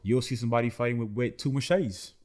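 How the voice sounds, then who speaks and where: A man's voice: medium pitch, fast talker, a little mellow. A man in his twenties, from the Dominican Republic, telling his life story in an earnest, slangy way as a podcast guest. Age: 20s. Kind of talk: casual interview chat.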